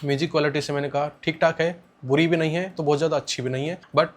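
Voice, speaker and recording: A man's voice: on the high side, fairly even intonation, fast talker, slight background noise. An Indian man giving a casual spoken product review, explaining things plainly and repeating points for emphasis.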